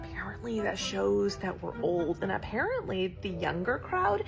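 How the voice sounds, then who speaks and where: Playful woman with a gravelly edge. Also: mid-range voice, expressive, moderate pace, over music. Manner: playful, giggly. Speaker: social media poster. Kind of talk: casual talk to camera.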